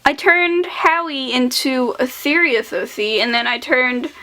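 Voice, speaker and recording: A young woman in her late teens speaks in a high voice with a noticeable lisp. She rambles casually through a voice-over about her artwork, offhand and self-deprecating. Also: unhurried, sharp tone, low-quality recording. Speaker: artist showing old sketchbooks.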